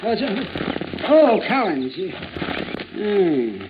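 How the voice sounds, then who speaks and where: A man's voice: high voice, big pitch swings, very slow, highs cut off, exaggerated voice. An actor playing a sour, fussy, wealthy retired man of fifty-seven in 1950s radio drama style, hemming and peremptory. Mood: fussy, musing. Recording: noisy, band-limited old radio recording.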